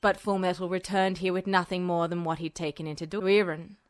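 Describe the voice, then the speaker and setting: A woman voicing a calm, bored male officer in a podfic reading, speaking in a dry, unimpressed way. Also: fairly low pitch, fairly quick, no room sound, pitched below the performer's own voice, lightly acted. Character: bored, reasonable male military superior. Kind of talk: podfic reading of fan fiction.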